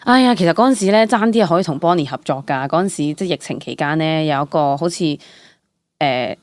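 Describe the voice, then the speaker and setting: A young woman with a Hong Kong Cantonese accent chatting casually as an interview guest, animated and dropping English words into her speech. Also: low voice, fast talker, dry recording. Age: young adult. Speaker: guest, stage actress.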